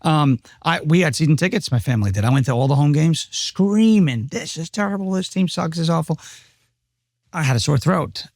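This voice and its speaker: A man with a lasting raspy voice, joking and laughing at himself as a guest on a casual panel talk show. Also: on the high side, lively intonation, fairly quick, a little mellow, no room sound, studio quality. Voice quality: raspy voice from vocal nodules.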